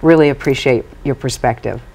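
A woman's voice: a bass voice, a little fast, gravelly, crowd noise. A woman hosting a live TV news show, interviewing guests in a studio. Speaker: TV news host.

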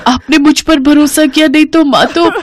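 Woman playing a timid high-voiced young bride. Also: fairly even intonation, moderate pace, close to an everyday voice. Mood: tearful. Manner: pleading, stammering. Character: timid, frightened young daughter-in-law, sweet and tearful.

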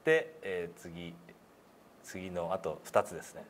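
A man's voice: low voice, steady tone, a little slow. A man giving a hands-on pronunciation lesson as instructor, in a polite, encouraging way. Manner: polite, encouraging, often chuckling. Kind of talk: hands-on lesson, demonstrating single sounds. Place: meeting room indoors. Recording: clear background room noise.

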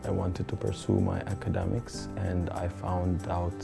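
A young man from Ethiopia, a master's student, speaking haltingly with many pauses in an interview. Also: low voice, level tone, vocal fry. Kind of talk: interview testimonial. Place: indoor room by a window. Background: background music.

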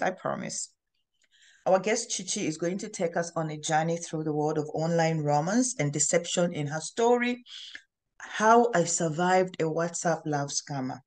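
A woman hosting an interview show, giving an upbeat, polished introduction over a video call. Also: on the low side. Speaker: host. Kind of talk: scripted talk-show introduction.

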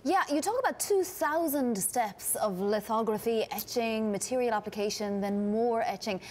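Crisp female news anchor. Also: a little high, normal speed, a little mellow, slight background noise. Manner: crisp, pointed questions. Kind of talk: studio news interview. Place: TV news studio.

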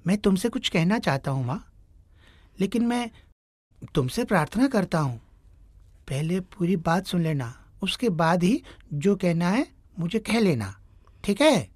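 A woman voicing an earnest young man, a caring son, in an animated story. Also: on the low side, unhurried, husky, no echo, full character voice. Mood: earnest, reassuring. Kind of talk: Hindi animated moral story acting.

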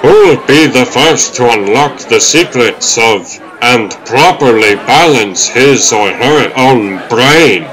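A man's voice: mid-range voice, lively intonation, slow pace, hardly any pauses, a bit bright, a faint crowd, low-quality recording. A man voicing a wordy old turtle showman in a comic dub, with theatrical sales patter. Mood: grandiose pitch. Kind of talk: comic dub character acting.

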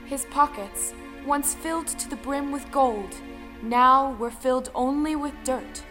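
A woman's voice: sits high, measured pace, music in the background. A woman narrating a folktale audio play as a storyteller. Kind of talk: dramatic scripted storytelling narration.

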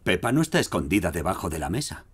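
A man's voice: sits low, big pitch swings, fast talker, breathy edge. A man with a Castilian Spanish accent reading scripted narration for a children's cartoon. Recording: music behind the voice.

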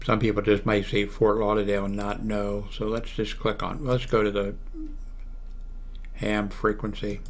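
An American man in his seventies giving a casual, unscripted computer walkthrough, haltingly thinking aloud. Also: lowish voice, level tone. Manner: halting, thinking aloud while clicking through pages. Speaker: video blogger giving a how-to demonstration. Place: small room at home, indoors. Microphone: large desktop microphone close to the mouth.

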